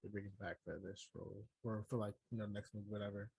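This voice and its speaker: A quick-talking man with a husky voice and a dry, close sound co-hosts a review show. He chats casually in slang, curses freely and repeats phrases for emphasis. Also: on the low side, steady tone, darkish tone, no echo, rough recording. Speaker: podcast co-host reviewing movies. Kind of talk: casual, slangy chat with heavy cursing.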